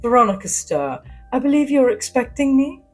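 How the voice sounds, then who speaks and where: Woman playing a husky, flirtatious socialite spy. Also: sits high, big pitch swings, moderate pace, darkish tone, faint music behind, voice pushed up. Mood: teasing. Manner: arch, teasing, commanding. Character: flirtatious, imperious socialite woman spy, sly and self-assured. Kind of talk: first-person sci-fi spy audio fiction.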